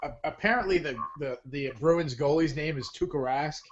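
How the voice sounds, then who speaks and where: A man from the Providence area with a Rhode Island accent, co-hosting a casual sports chat and tripping over his words when he gets excited. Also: on the high side, a crowd in the background. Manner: excitable, restarts words, quick laughs. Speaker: co-host. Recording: dull webcast audio with background noise.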